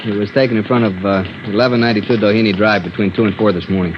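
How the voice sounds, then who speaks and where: Actor as a quick-talking, practical police detective. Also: male voice, fairly low pitch, fairly even intonation, natural voice. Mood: businesslike. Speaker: police officer interpreting Spanish. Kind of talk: 1950s radio crime drama acting. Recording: dull, noisy old radio recording.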